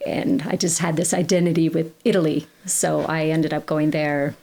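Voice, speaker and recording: Brief: female classical singer, podcast guest; lowish, gravelly voice; chatty, rambling, self-correcting. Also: fairly even intonation, moderate pace, mellow. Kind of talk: casual conversational interview.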